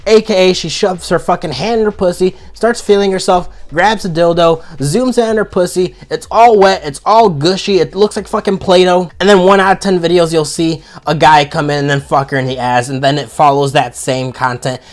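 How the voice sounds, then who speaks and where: A man's voice: high-pitched, normal speed, hardly any pauses. Froggy-voiced sick man, blunt and crude. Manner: blunt and profane. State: sick with a sore throat, froggy. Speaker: video reviewer. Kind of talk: casual comedic review monologue.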